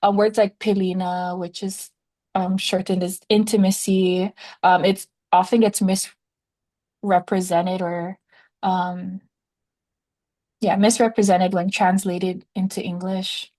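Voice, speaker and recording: A woman's voice: medium pitch, flat delivery, slow pace, dark tone. A woman speaking as a guest on a podcast interview, answering in a tentative, hesitant way with many fillers. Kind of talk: conversational interview.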